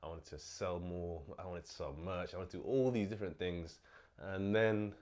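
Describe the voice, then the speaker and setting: A young man hosting his own vlog, talking casually and a bit low on energy as he recovers from illness, with lots of fillers. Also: moderate pace. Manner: relaxed, chatty, many fillers. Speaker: host. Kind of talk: casual vlog talk to camera.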